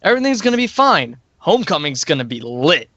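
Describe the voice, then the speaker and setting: A man acts out a confident student music-club DJ with a high voice. He promotes events in a breezy radio-play style. The audio is very dry and slightly muffled. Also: big pitch swings, fairly quick, bright, forceful tone, no room sound, full character voice. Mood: breezy, assured.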